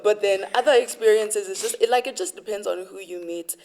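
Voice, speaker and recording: Young South African woman, South African accent, mid-pitched, lively storyteller in a casual interview. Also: a little fast, rough recording. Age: young adult. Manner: animated storytelling, acts out quotes. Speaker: university student guest.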